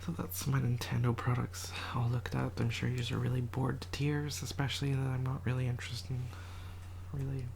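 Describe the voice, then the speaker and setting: An ASMR creator in a quiet house, whispering a soft, rambling show and tell and often correcting themselves, voice a little rough from a cold. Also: mid-range voice, level tone, brisk, slight background noise. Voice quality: whispered, soft-spoken delivery. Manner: rambling, self-correcting, chatty.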